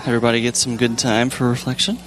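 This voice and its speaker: Calm young man leading church discussion. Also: medium pitch, quick pace, faint music behind, low-quality recording. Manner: relaxed, rambling, with fillers and restarts. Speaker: church group leader. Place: church sanctuary with pews.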